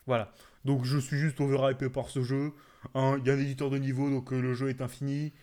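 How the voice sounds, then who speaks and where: A man's voice: medium pitch, flat delivery, fairly quick, mellow. A man co-hosting a casual video game podcast, joking along in crude slang. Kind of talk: casual gaming chat.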